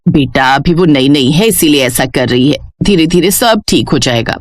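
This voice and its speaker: A woman voicing an older, put-upon but patient mother-in-law in a storybook tale, with measured, drawling speech. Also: sits low, lively intonation, slight background noise, pitched below the performer's own voice. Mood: soothing. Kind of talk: Hindi moral storybook character voice.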